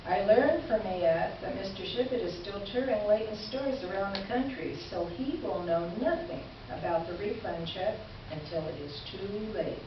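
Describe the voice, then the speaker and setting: A woman's voice: medium pitch, normal speed, lightly acted. A woman acting an articulate letter writer, very echoey. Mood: earnest, reassuring. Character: articulate, wry, kindly female letter writer. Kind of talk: staged readers theater letter reading. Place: live stage presentation in a roomy venue. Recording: distant, echoey, muffled live stage recording, noisy.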